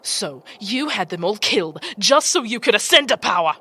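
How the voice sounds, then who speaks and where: Woman playing a brash, sharp-voiced demon chieftain. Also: medium pitch, big pitch swings, normal speed, slightly rough, exaggerated voice. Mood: grave, accusing. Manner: mocking, swaggering, coarse. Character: brash, taunting female demon chieftain, fierce war leader. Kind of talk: fantasy audio drama acting.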